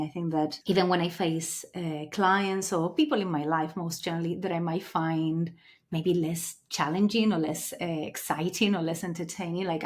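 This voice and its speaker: Lower-voiced woman. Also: darkish tone. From Canary Islands, Spain. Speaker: podcast guest, clinical psychologist. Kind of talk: reflective conversational interview answers.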